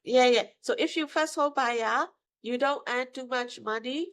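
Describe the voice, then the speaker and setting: A woman, her voice sitting a little high. Her English is broken and halting, full of "uh" and "right?" as she explains her work to an interviewer. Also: a bit bright. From Myanmar. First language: Burmese. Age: 50s. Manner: broken English with hesitations, emphatic tag questions. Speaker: guest, mortgage broker. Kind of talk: casual interview answers.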